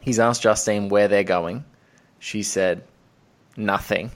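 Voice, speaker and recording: A man's voice: lowish voice, fairly even intonation, slow pace. A man hosting a casual film discussion, talking in an enthusiastic, analytical and digressive way. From Australia. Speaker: podcast host.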